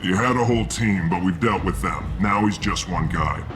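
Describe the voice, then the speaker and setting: An actor playing a hard-bitten mercenary in a video game, gruff, brusque and matter-of-fact. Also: male voice, low voice, normal speed, natural voice. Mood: dismissive. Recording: music behind.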